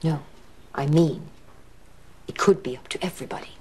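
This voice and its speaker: An actress playing an intent radio serial writer who dictates stories dramatically. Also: female voice, low voice, normal speed, a little mellow, slight background noise, close to an everyday voice. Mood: encouraging.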